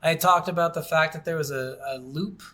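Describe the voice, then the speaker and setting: A man with a slightly high-pitched voice talks casually as a podcast host. He reviews games in a blunt, conversational way, like someone talking with a friend. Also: fairly quick, crowd noise. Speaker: video game podcast host. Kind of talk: casual chat, game review.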